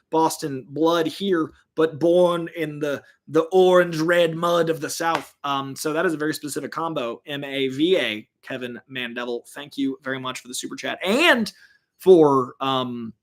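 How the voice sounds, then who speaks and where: A man hosts a comics news livestream in a loose, rambling way, full of fillers and quick restarts. His voice sits a little high for a man. He speaks casually and directly to his viewers. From born in Virginia, raised in Massachusetts.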